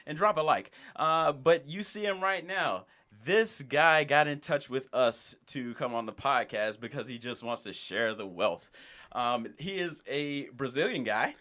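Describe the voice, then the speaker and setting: A man's voice: mid-range voice, animated, a little slow, sharp tone. A man hosting a podcast in a studio, chatting in a casual, laid-back way and laughing easily. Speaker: podcast host.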